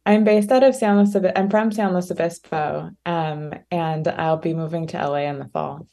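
A woman's voice: lowish voice, moderate pace, creaky, mellow. A woman stage actor answering eagerly in a casual interview. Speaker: stage actress.

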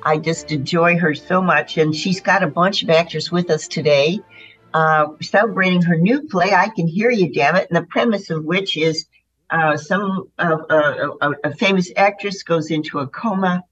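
A woman's voice: lowish voice, normal speed, fairly bright tone, faint music behind. A woman hosting an interview, upbeat and chatty, with plenty of fillers. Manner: bubbly, with frequent fillers. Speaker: radio host. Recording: dull lo-fi sound.

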